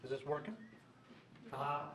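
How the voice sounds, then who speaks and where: A man with a hoarse, failing voice presiding over a public board meeting, hesitant and full of filler pauses. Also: fairly high pitch, animated, a crowd in the background. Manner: halting, many filler pauses. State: hoarse, losing his voice. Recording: echoey room, distant mic, background noise.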